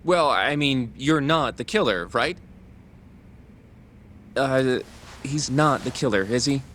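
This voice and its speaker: An actor playing an earnest, naive young rookie lawyer in a video game cutscene, polite, eager and a little hesitant. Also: male voice, medium pitch, animated, slow, fairly bright tone. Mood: nervous, puzzled. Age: young adult.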